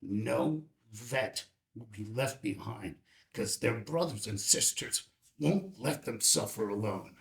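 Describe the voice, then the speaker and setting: Man acting a slow, gravelly veteran father. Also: medium pitch. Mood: solemn, reflective. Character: ageing veteran father, grieving, wry, reflective. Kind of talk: dramatic film script table read.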